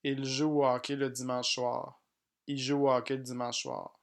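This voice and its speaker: A man teaching pronunciation, reading each example sentence slowly and plainly, often twice. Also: mid-range voice, level tone. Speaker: French language teacher. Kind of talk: slow pronunciation drill.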